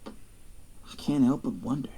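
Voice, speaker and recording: A man's voice: medium pitch, takes it slowly, dark tone, a little hiss or hum, rough recording. An actor playing a young male athlete, guarded and curious, narrating his own story in an audio drama. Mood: wary. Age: young adult. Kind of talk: first-person audio drama narration and dialogue.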